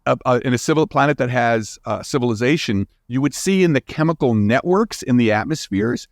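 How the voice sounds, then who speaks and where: A man's voice: mid-range voice, expressive, fairly quick, no echo, studio quality. A man talking as a guest scientist in an interview, explaining in an informal, emphatic way and thinking aloud as he goes.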